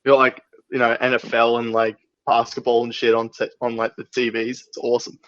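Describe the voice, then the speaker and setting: A man's voice: medium pitch, monotone, fairly quick, fairly bright tone, no echo, highs cut off. An Australian man bantering casually as a podcast guest, with slangy, sweary talk. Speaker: band member and podcast guest.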